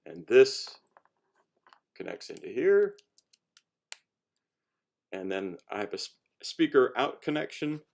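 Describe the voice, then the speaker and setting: A man casually explaining a homemade project in a halting, unscripted how-to style, rephrasing as he goes. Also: mid-range voice, very animated, creaky, a little mellow. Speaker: hobbyist cosplay maker giving a demonstration.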